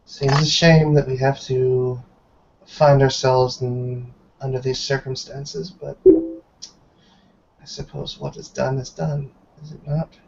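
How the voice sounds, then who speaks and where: A man voicing a stern, dignified old grandmother in a tabletop game, formal and measured. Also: medium pitch, level tone, gravelly, a little mellow. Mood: grave, disapproving. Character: stern, disapproving old grandmother, dignified elder warrior woman. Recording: muffled online call with background noise.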